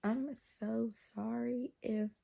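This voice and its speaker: A young woman vlogger with a naturally low voice, chatting casually to a camera held close to her face, talking softly and rambling with lots of fillers. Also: fairly even intonation, slow, gravelly. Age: young adult.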